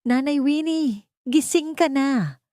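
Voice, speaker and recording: Little Filipino boy, played by a woman, high voice, eager and joyful, storybook drama. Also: slow pace, no room sound, studio quality. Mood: joyful, excited. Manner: bright, joyful exclaiming. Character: excited, devoted little boy.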